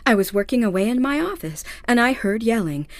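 An actress playing a proper, polite and puzzled department store owner in a comic radio mystery. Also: female voice, fairly high pitch, lively intonation, brisk, dry recording. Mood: puzzled concern.

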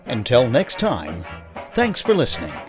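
A man gives upbeat closing remarks as host. His pitch swings very widely, and music plays behind him. He sounds animated and eager. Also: a little slow.

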